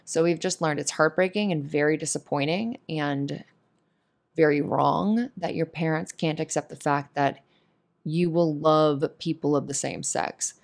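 A woman with a slightly low voice talks as if directly to one listener, giving comforting advice. Her manner is earnest and opinionated, and she leans on her points with emphasis in a casual monologue. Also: fairly even intonation, measured pace, creaky.

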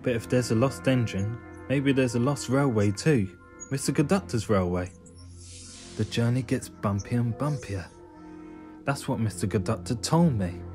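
A man's voice: medium pitch, moderate pace, a little mellow, soft background music, close to an everyday voice. A small, thoughtful cartoon engine, played by a man. He is naive and earnest, and speaks hesitantly as he reasons things through. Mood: wondering.

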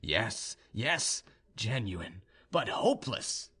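An ardent young officer from a storybook romance, as a male narrator plays him. He is earnest and deferential, pleading his devotion with widely swinging pitch. He sounds humble and helplessly in love. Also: sing-song, measured pace, a bit bright, slightly rough, full character voice. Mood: anguished, pleading. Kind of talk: audiobook character dialogue.

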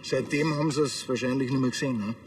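A man's voice: mid-range voice, steady tone, fast talker, far from the mic, a little hiss or hum, close to an everyday voice. An actor playing a flippant, tactless Bavarian policeman in a TV crime comedy, dry and offhand. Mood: brisk, official. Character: flippant, tactless small-town male policeman. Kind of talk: naturalistic TV crime comedy acting.

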